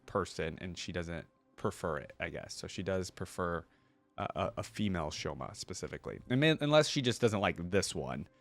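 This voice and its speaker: A man reads a story aloud in a casual, reactive way. His delivery is animated, and he slips easily into offhand commentary. The sound is dry, with very little room. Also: fairly low pitch, no room sound. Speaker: reaction video host.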